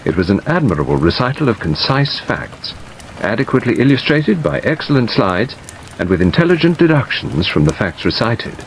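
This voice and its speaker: A man reading literary narration as an audiobook narrator, in a measured scripted delivery. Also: mid-range voice, slight background noise, poor audio. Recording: steady rain sound over the reading. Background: rain.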